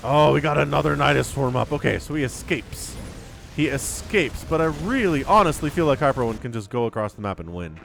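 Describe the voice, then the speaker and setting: A man giving casual, chatty live commentary on a video game as a shoutcaster, a bit sniffly with a cold. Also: medium pitch, lively intonation, a little fast, creaky, a little hiss or hum. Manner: chatty, informal, slangy asides. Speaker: esports shoutcaster. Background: music.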